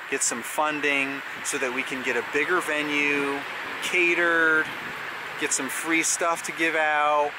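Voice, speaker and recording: Relaxed man talking outdoors in the woods. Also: a little high, very noisy. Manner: relaxed, conversational. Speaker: event organizer. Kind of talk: casual vlog announcement. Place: wooded park, outdoors. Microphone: handheld camera close to the face.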